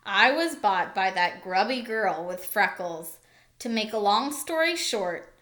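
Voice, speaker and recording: A woman voicing a weary, scornful male hamster in a children's storybook, telling his tale in a grumbling, unhurried way. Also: on the high side, fairly bright tone.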